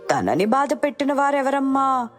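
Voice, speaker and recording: A woman's voice: sits high, very animated, moderate pace. A woman playing a tender, affectionate mother who coaxes her child in a children's story. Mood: concerned, coaxing. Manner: affectionate, coaxing. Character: tender, doting poor working mother. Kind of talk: children's moral story dialogue. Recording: music behind the voice.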